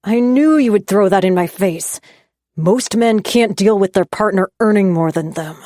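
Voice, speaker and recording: A jealous young man who snaps at his girlfriend in raised, sharp and scornful bursts, as a woman narrator reads him. He sounds loud and cutting, his voice sitting in a middling register with dry, close sound. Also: medium pitch, a little slow, no echo, natural voice. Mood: angry. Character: angry jealous young man, resentful boyfriend.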